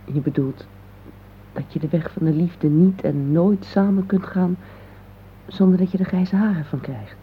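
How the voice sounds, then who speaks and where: An actress playing an anxious, doubtful but affectionate middle-aged wife in a radio play, halting as she questions. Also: fairly low pitch, lightly acted. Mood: soft, questioning. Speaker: radio drama actor. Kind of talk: intimate radio play dialogue. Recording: muffled phone-like old radio recording, hissy.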